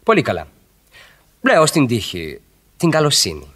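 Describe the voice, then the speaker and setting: An actor playing an urbane, teasing married country-house host in Greek radio theatre, wry and provocative. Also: male voice, medium pitch, huge pitch swings, a bit bright, husky, heavily acted. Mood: amused. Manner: wry, provocative, mock-respectful.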